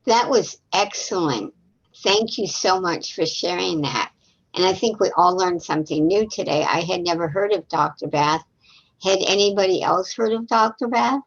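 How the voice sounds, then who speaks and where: A woman hosts a storytime over a video call, thanking each reader and introducing the next group. Her voice sits on the low side and sounds encouraging. The call audio is dull and lo-fi. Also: lively intonation, moderate pace, slightly rough. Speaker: storytime host.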